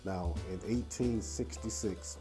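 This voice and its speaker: A man's voice: on the low side, a little slow, over music. A man in his sixties from Detroit, hosting a casual whiskey review with a stuffy nose from a cold, talking in an easygoing, chatty way. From Detroit, USA. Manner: easygoing and chatty, with frequent uh and you know. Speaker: whiskey reviewer and channel host. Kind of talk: casual spoken review and storytelling.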